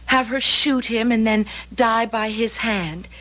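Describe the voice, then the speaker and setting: High-voiced, earnest young time traveler woman. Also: takes it slowly, fairly bright tone. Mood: grave, resolved. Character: earnest young female time traveler, anxious but loyal. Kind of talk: old-time radio drama acting.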